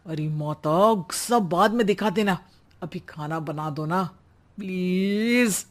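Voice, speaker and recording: A man's voice: sits high, slow. A man voicing a harried young husband in a cartoon, coaxing and a bit impatient. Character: harried young husband Suraj, office worker. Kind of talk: cartoon voice acting.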